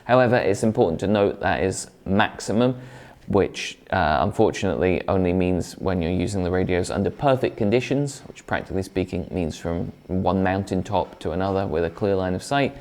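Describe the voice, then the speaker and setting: Deep-voiced man presenting a product review. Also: fairly quick, darkish tone. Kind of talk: semi-scripted product review to camera.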